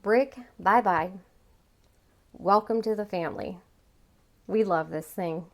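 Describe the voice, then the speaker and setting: A woman's voice: medium pitch, takes it slowly. A middle-aged woman giving a casual product review in a chatty, enthusiastic and emphatic way.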